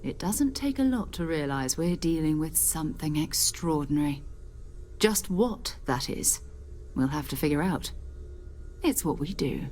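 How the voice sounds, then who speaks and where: Space captain for a game: lower register, composed authority, principled and earnest delivery. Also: female voice, animated, a little slow, a little mellow, faint music behind. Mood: earnest, intrigued. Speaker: expedition leader. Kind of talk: video game character dialogue.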